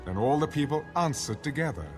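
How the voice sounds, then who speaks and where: A man's voice: fairly high pitch, expressive, a little fast. A man narrating scripture in a scripted audiobook style. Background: music.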